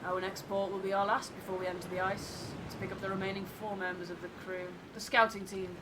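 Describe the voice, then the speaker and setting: A woman's voice: mid-range voice, little pitch movement, heavy background noise, lightly acted. Wary, reflective first mate read by a woman. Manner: measured, reflective, literary. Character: thoughtful, wary first mate narrating a ship's log.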